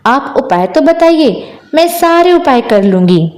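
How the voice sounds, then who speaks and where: A meek village wife from a moral storybook, performed by a woman storyteller. Her voice is high. She speaks earnestly and plainly, with quiet perceptiveness. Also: sing-song, measured pace. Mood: pleading.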